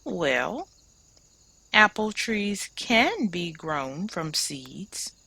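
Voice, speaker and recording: A woman's voice: fairly low pitch, big pitch swings, drawn-out words, vocal fry, sharp tone, breathy edge, full character voice. A woman reading aloud a kindly male farmer in a children's storybook, explaining things patiently and encouragingly.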